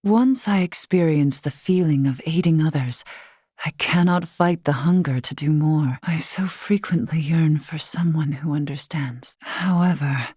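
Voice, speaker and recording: A woman voicing a composed, scholarly otherworldly woman in a video game, with formal, measured and elaborate diction. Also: low-pitched, dark tone, husky, no room sound, clean studio sound. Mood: sincere, reflective. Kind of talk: scripted video game character acting.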